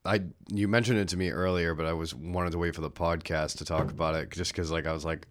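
A young man with a very deep voice hosts a casual podcast. He talks loosely, swears often and jokes around with his guest. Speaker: podcast host.

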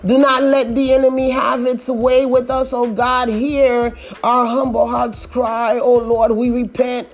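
Woman leading intercessory prayer, slow, high, pleading, soft music underneath. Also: flat delivery. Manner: pleading, repetitive invocations, rising to shouts. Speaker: prayer leader.

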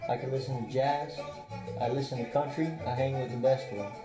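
A man's voice: mid-range voice, steady tone, brisk. Brash country-sounding man, roomy. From Cuban family roots. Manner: loud, brash and joking. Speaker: podcast guest. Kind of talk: casual chat. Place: a house. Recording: roomy sound with music behind.

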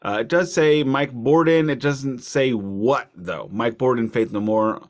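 A man hosting a podcast, chatting casually about music in a wry way, leaning deliberately on key words. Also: medium pitch. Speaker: podcast host.

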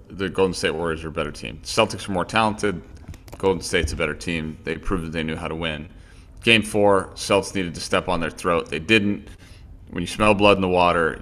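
Deep bright-toned guy ranting about sports, lots of restarts. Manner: opinionated, rambling with restarts. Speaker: podcast co-host. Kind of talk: casual sports chat.

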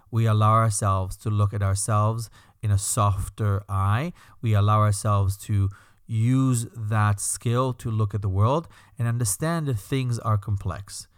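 Rabbi with a slight Belgian accent. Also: male voice, lowish voice, clear, resonant tone, no room sound, clean studio sound. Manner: calm, teaching tone with rhetorical lists. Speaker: podcast host and rabbi. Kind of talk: reflective podcast monologue.

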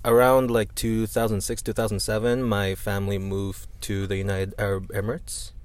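Rambling young Filipino-accented man, lowish voice. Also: level tone, moderate pace, darkish tone, dry recording. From Philippines. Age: young adult. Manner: rambling, many fillers and restarts. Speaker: criminology student, guest. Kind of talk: casual chat.

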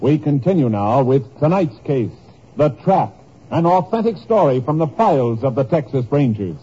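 Old time radio announcer guy, mellow n husky, formal measured delivery, muffled recording. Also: a little high, sing-song.

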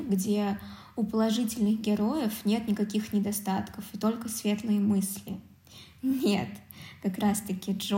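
A woman's voice: medium pitch, mellow. A woman co-hosting a podcast, giving conversational commentary on a film. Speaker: podcast co-host. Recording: roomy, echoey sound.

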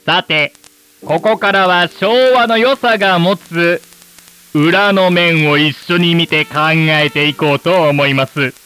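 Casting: man for solemn broadcast announcer in a radio drama, high clear-edged forceful tone, light music behind. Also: low-quality recording. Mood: formal, earnest. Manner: formal, solemn, measured wording. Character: earnest, formal television presenter nostalgic for Showa.

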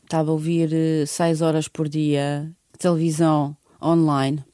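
A woman's voice: sits low, level tone, unhurried, mellow, dry recording, studio quality. A middle-aged woman from Bosnia, speaking a learned earnestly and searching for words in a radio interview. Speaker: guest singer.

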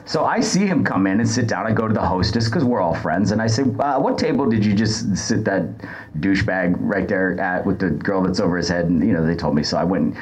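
Man, low-pitched voice, casual storytelling, crude and profane, podcast guest. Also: animated, fairly quick, darkish tone, rough recording. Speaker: podcast guest, service worker. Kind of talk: casual anecdotal chat.

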